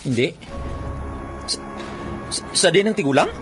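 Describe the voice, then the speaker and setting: A man's voice: on the high side, expressive, a little slow, music in the background. A man acting a brash, easily awestruck young bird trapper in a radio drama, theatrical, with a Western Visayan Filipino accent. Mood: defiant, scornful. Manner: theatrical, stammering when startled. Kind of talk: radio drama acting with first-person narration.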